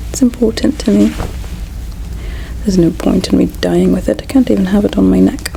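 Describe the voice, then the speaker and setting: A woman performing a whispered ASMR roleplay as a regretful, solemn alien princess, with a breathy, hushed, halting delivery. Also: mid-range voice, slight background noise, poor audio. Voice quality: breathy whisper with soft creak. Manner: slow, halting, hushed storytelling.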